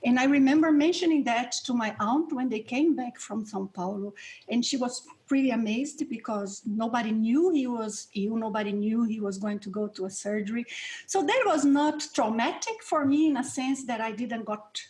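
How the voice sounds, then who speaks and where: A woman's voice: fairly high pitch, moderate pace, a little mellow, low-quality recording. A middle-aged woman from Brazil with a Brazilian accent, a non-native English speaker answering interview questions in an earnest, reflective way with light laughs. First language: Portuguese. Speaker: guest researcher and instructor.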